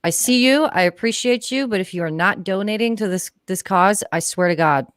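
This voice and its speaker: A woman's voice: mid-range voice, a little fast, clear, resonant tone. A woman chatting casually as a live-stream commentator, blunt and sardonic, swearing freely.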